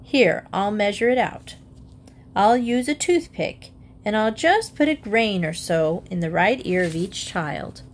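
A woman voicing a brisk, practical mother in a storybook, matter-of-fact, on a noisy recording. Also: medium pitch, lively intonation. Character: brisk, practical, apologetic mother of young children.

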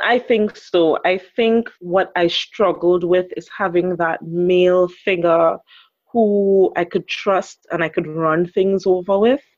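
A woman's voice: lowish voice, a little mellow, no echo, lo-fi sound. A woman in her thirties from Trinidad and Tobago with a Trinidadian accent, speaking as a guest relationship educator in a thoughtful interview conversation.

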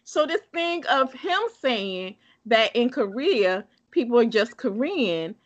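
A woman's voice: on the high side, fairly bright tone, lo-fi sound. A woman co-hosting a casual book discussion, talking emphatically and bluntly, often repeating herself to drive a point home.

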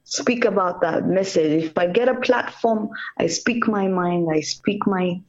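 A woman's voice: medium pitch, unhurried, lo-fi sound. A woman from Kenya, a reggae singer, talking earnestly about her music in a casual radio interview, in short repeated phrases. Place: radio call-in.

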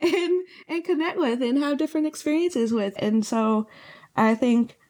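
A woman co-hosting a podcast in casual chat, slightly hoarse, laughing often and filling her talk with "like". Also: high voice, moderate pace, a little mellow. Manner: laughing, chatty, lots of "like" fillers. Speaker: podcast co-host.

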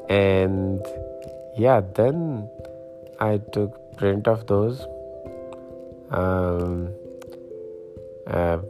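A man's voice: fairly low pitch, drawn-out words, long pauses, music in the background. A middle-aged man from Pakistan telling a casual personal story about learning to design and print t-shirts, hesitant with many fillers and restarts. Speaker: hobbyist sharing his t-shirt printing journey.

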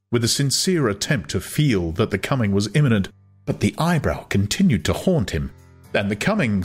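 A man narrating an audiobook in a measured, dramatic style. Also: on the low side, husky.

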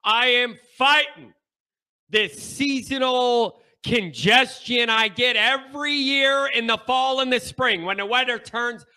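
Congested male show host, high voice, unhurried, emphatic repeats. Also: smooth. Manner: emphatic, repeats points with bursts of shouting. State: stuffed-up nasal congestion. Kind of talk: sports talk monologue.

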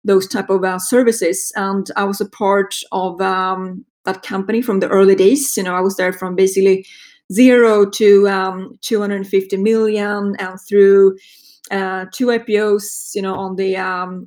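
Swedish lady in her 50s, exec being interviewed, lots of ums and restarts, medium pitch. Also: darkish tone. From Sweden. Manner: hesitant, many fillers and restarts. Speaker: guest, marketing executive. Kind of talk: casual interview talk.

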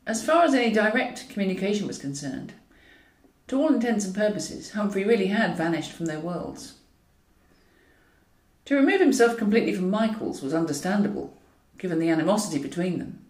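Woman narrator, lively intonation, wry storytelling, echoey room, set back from the mic. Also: mid-range voice. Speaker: author reading own novel. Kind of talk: scripted narration of a comic novel. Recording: roomy echo, distant from mic.

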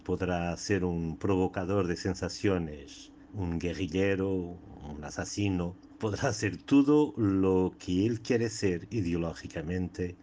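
Deep, mellow Portuguese-accented man. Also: slow pace, few pauses. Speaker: guest poet. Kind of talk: reflective talk and poetry reading. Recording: clearly noisy background.

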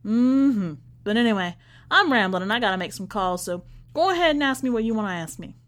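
A woman's voice: a little high, lively intonation, fairly quick, lightly acted. Woman acting a chatty, folksy church lady. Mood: chatty, fond. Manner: chatty, folksy Southern-style church talk. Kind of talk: acted storybook dialogue.

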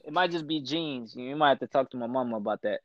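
A man in his twenties, born in Ethiopia, chatting casually as a podcast guest, slangy and quick to laugh. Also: medium pitch, dull audio. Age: 20s. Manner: relaxed, slangy, laughs often. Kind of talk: casual chat.

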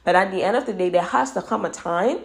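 A woman's voice: medium pitch, quick pace, creaky. A Bahamian woman in her twenties with a Bahamian accent gives emphatic dating advice straight to her listeners and repeats words for stress. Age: 20s. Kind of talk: casual advice monologue.

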